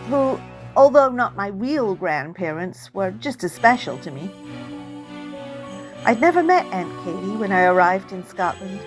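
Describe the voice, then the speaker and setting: A woman author narrating a first-person story in character as a child, with expressive, dramatic storytelling. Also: medium pitch. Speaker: author reading own novel. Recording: music under the voice.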